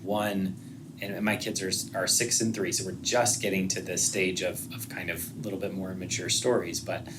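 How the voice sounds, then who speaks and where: A man's voice: low-pitched, fairly even intonation, normal speed. An American man in his thirties hosting a podcast in a casual, conversational interview style. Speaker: podcast host. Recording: clearly noisy background.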